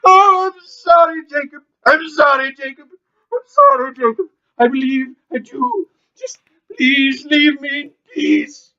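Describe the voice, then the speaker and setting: A man acts out a frightened, stubborn old miser in an audio play. His voice sits high and he speaks in agitated, theatrical stammers, slowing to plead. The sound is slightly muffled and lo-fi. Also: expressive, bright, forceful tone, heavily acted. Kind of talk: audio play acting of a classic ghost story.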